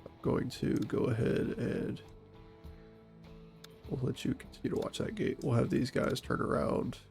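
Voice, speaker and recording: A man with a stuffy, congested voice giving calm, methodical commentary on a tactical game. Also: medium pitch, unhurried, gravelly, soft background music. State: stuffy from a cold. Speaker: gaming channel host and SWAT operator.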